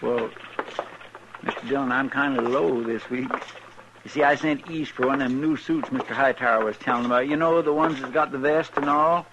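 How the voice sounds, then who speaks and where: Creaky, folksy sidekick played by a man. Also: mid-range voice, steady tone, moderate pace, natural voice. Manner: rambling, folksy, deferential. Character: folksy, guileless, good-natured western deputy sidekick. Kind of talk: 1950s radio western acting. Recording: noisy, muffled old radio recording.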